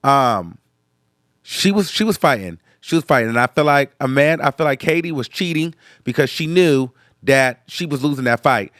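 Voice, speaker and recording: A young man hosting a casual reaction video, sounding nasal and congested from sinus trouble, chatty and animated. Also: mid-range voice, normal speed, no echo, studio quality. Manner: chatty, animated, tag questions like okay. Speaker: host.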